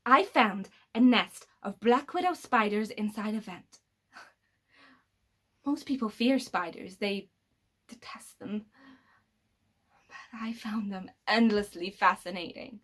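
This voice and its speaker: A woman performing a dramatic monologue as an embittered, coldly superior killer with psychic powers, pausing heavily and dropping into breathy whispers. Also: medium pitch, a bit bright. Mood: fascinated, hushed. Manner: slow dramatic pauses, sinking into breathy whispers.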